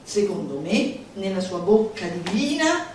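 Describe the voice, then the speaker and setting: A woman giving a theatrical recitation, declamatory and playful, with dramatic pauses and asides to her listeners. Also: medium pitch, very animated, a little mellow. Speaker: solo stage performer. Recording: very echoey live hall, distant mic, music behind.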